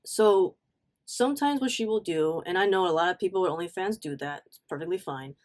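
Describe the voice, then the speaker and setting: A woman's voice: lowish voice, a little fast. Casual, blunt woman telling a story. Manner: animated, blunt, swearing, acts out quotes; slangy, conversational asides. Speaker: online storyteller. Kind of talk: casual story time vlog.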